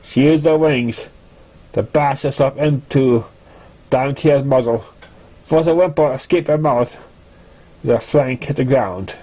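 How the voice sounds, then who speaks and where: A person reading fan fiction aloud in a halting, stumbling amateur delivery. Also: medium pitch, dark tone, low-quality recording.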